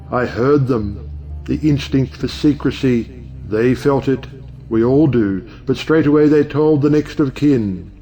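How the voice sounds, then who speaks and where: A man acts out an eloquent stage-play judge with lofty ideals. His delivery is oratorical and grand, in a mid-pitched male voice over faint music. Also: darkish tone, close to an everyday voice. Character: eloquent, high-minded male judge defending lovers.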